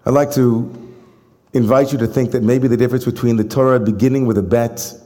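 A man giving a sermon as a rabbi, telling a story with rising emphasis and then slowing into soft, reflective phrases. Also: medium pitch, steady tone. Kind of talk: sermon to a congregation. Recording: long hall reverb.

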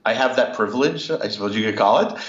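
A man from Canada with a fairly low voice speaks as a podcast guest. He answers thoughtfully, pausing with small hesitations. His audio comes through muffled and echoey. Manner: thoughtful, slightly hesitant, laughs easily. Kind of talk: conversational interview answers.

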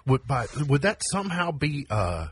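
A man's voice: mid-range voice, big pitch swings, moderate pace, husky. A man co-hosting a casual talk show, bantering with dry wordplay between items he reads off a list. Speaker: co-host. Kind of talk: casual banter around reading a gift list.

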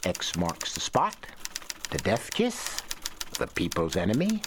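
A man's voice: low-pitched, wildly swooping pitch, a little slow, noisy background, heavily acted. An actor playing a wry, eloquent screenwriter who voices his own letters in a radio drama. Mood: wry, buoyant. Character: wry, literate male screenwriter writing letters. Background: typewriter and music.

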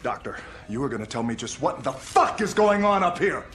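An actor playing a forceful, demanding male soldier in a sci-fi horror film, commanding and profane. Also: mid-range voice, sing-song, brisk. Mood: urgent, commanding. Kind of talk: film acting. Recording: film clip with music behind.

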